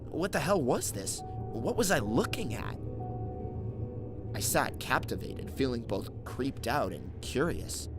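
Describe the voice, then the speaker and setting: A man with a medium-pitched voice narrates a horror story in the first person. His delivery is dramatic and suspenseful, built for scripted storytelling. Music plays clearly behind his voice throughout. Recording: narration over clearly audible background music. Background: background music.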